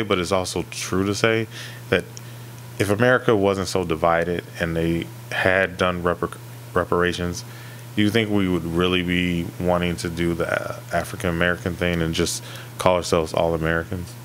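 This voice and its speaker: A man's voice: low voice, fairly even intonation, moderate pace, fairly bright tone, slight background noise. A man co-hosting a podcast, talking casually and feeling his way through a point. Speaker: podcast co-host. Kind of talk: casual discussion.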